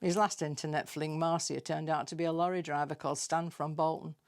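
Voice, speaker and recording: A woman's voice: low voice, fairly even intonation, fairly quick, darkish tone. A British woman reading a scripted comic monologue in a dry, chatty, confiding manner, like gossip. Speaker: storyteller reading own comic tales.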